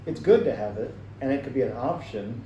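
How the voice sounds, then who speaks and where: Mellow-voiced American man, far off. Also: medium pitch, a little fast, highs cut off. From United States. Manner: relaxed, plain-spoken, many "like" fillers. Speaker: teacher being interviewed. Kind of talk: casual interview answers. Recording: roomy, distant and slightly noisy.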